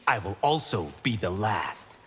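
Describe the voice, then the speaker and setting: A cocky young warrior monk, animated. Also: male voice, lowish voice, unhurried. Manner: proud, brash, self-assured. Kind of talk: video game character banter. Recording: long hall reverb, clear background noise.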